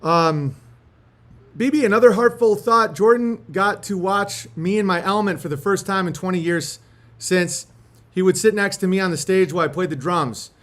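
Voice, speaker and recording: A man's voice: sits high, moderate pace, fairly bright tone. A man chatting casually in slangy, sweary language as a host, partly reading a letter aloud. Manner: laid-back slangy talk with frequent swearing.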